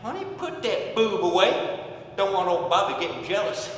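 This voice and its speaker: A brash redneck who brags loudly and crudely and keeps breaking into cackles. His voice sits high. It sounds distant, with a long reverb in an echoey space. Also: big pitch swings, normal speed, a little hiss or hum. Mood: boastful. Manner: loud, crude, back-slapping bragging with cackling laughs. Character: brash boastful Southern redneck businessman.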